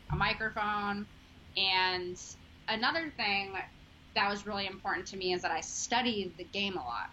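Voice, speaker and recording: Woman, 30s, Chicago accent, bright tone, earnest interview guest, dull recording. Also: mid-range voice. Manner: chatty, filler-heavy, earnest. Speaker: sports journalist guest. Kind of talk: casual interview chat. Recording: dull lo-fi audio, faint noise.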